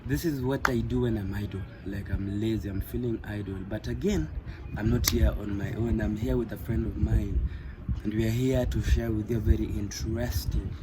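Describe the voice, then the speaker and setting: A Kenyan man hosting a casual vlog, switching between English and Swahili with halting, drawn-out phrasing, outdoor noise around him. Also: fairly low pitch, fairly even intonation. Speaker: vlog host.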